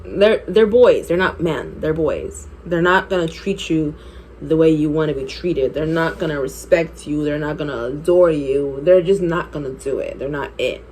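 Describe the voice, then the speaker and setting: A woman's voice: low-pitched, expressive, normal speed, a little mellow, a little hiss or hum, low-quality recording. A woman in her twenties telling a personal story while doing her makeup, chatty and candid, laughing often. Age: 20s. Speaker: beauty vlogger.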